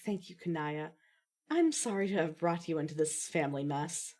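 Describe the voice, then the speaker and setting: A woman's voice: low voice, moderate pace, darkish tone. A woman playing a composed, dry-witted young daughter with a formal, slightly wry manner in amateur audio fiction.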